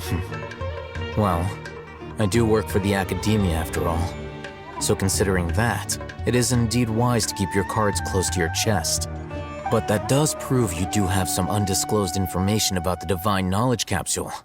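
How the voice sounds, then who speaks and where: An actor playing an aloof, analytical young male scholar in a video game, speaking in a measured, formal, dryly probing way. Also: low voice, over music, lightly acted. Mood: detached. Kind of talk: video game cutscene acting.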